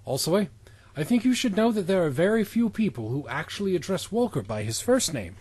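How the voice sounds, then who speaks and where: A man's voice: sits high, big pitch swings, brisk, darkish tone, slightly rough. A man co-hosting a comedy podcast, reading reviews aloud and joking around with lots of laughter and swearing. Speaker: podcast co-host.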